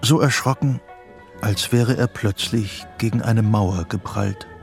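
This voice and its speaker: An actor narrating a psychological radio drama in a measured, detached literary style. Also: male voice, on the low side, vocal fry, soft background music.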